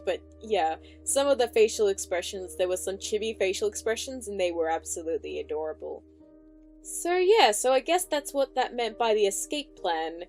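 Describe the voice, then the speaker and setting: A woman's voice: medium pitch, darkish tone. A woman giving casual, enthusiastic reaction commentary as the host, with music behind her.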